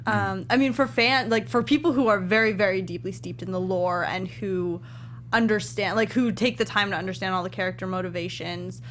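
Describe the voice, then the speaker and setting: A woman co-hosts a casual film discussion with a medium-pitched voice. She speaks conversationally, as part of a panel. A noticeable hum sits in the background. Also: steady tone, a little fast, a bit bright. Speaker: co-host of a film review show.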